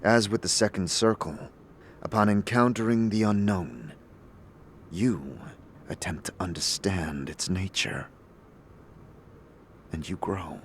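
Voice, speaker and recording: A man playing a thoughtful, sincere wanderer on a quest in a fantasy audio drama, earnest and a little halting. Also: low-pitched, a little hiss or hum. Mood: reflective.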